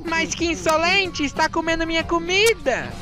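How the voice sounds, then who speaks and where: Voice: very high voice, moderate pace, over music. A performer voicing a haughty, murderous baby villain in a comic anime parody dub, with pompous menace and a Brazilian accent. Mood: indignant.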